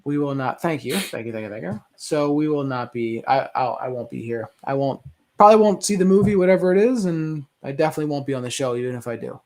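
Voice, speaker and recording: A man's voice: medium pitch, animated, quick pace, a little mellow. A man co-hosting a movie discussion show over a video call in casual conversation. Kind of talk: casual chat.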